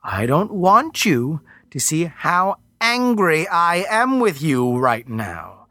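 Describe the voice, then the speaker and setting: Guy doing a slow scary earth mother spirit, drags every word out, dry close sound. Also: on the high side, sing-song, pushed and bright, no room sound, pitched above the performer's own voice, heavily acted. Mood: commanding. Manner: slow, drawn-out, menacing. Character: commanding female earth spirit, slow and wrathful.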